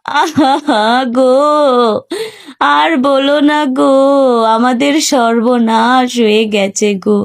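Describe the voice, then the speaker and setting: A woman playing a scheming, self-pitying village stepmother in a cartoon, with a drawn-out, plaintive delivery. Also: sits high, steady tone, gravelly, exaggerated voice. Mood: fake wailing. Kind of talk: Bengali cartoon story acting.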